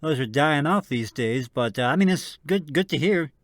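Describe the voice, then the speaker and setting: A man co-hosting a radio show with casual banter, speaking in a hesitant, halting way. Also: on the high side, expressive, no echo, studio quality. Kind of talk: casual scripted banter and introduction.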